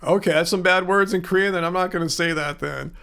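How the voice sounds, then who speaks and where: A man's voice: sits high, steady tone, quick pace, creaky, a bit bright, clear, resonant tone. A middle-aged man hosting a livestream, reading chat comments and answering in a rambling, casual way with lots of fillers. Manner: chatty, rambling, many fillers and restarts.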